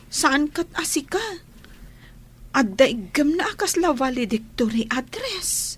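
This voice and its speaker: High-voiced excitable Ilocano schoolgirl. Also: unhurried. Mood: teasing, assured. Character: excitable, supportive teenage girl classmate. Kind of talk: radio drama acting.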